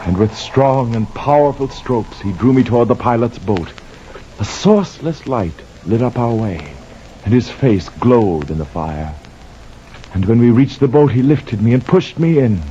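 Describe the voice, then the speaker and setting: A haunted seafarer played by a man recites his tale slowly, with solemn and fervent intensity. The old radio drama sound is muffled and lo-fi, with noise behind the voice. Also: mid-range voice, expressive, mellow, lightly acted. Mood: solemn awe. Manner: slow, solemn, impassioned, poetic delivery. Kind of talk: dramatic radio-play verse narration. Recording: dull lo-fi radio recording, clear noise.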